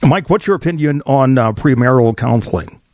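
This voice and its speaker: Guy hosting a church roundtable, animated pitch, dry sound, asking questions casually. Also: a little fast, dry recording. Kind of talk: conversational interview.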